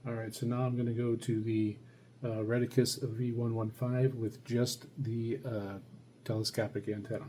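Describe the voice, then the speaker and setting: A man giving a casual hands-on demonstration, talking haltingly as he thinks aloud while handling gear. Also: medium pitch, flat delivery, dark tone. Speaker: radio hobbyist host. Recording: faint background noise.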